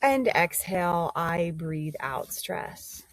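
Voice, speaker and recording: A woman leading a guided meditation, giving gentle instructions with pauses between phrases. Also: on the low side, animated.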